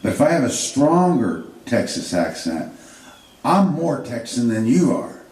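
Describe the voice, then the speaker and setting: A man's voice: mid-range voice, sing-song, a little slow, a little mellow. A man giving an unscripted history lecture, rambling with fillers and restarts. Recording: echoey room, distant mic.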